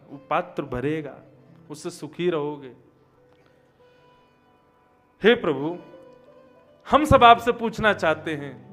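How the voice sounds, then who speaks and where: High-voiced Hindi preacher, emphatic storytelling. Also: male voice. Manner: storytelling, swings from quiet to loud emphatic exclamations. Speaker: Bhagavat katha preacher. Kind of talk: devotional sermon with chanted Sanskrit verses.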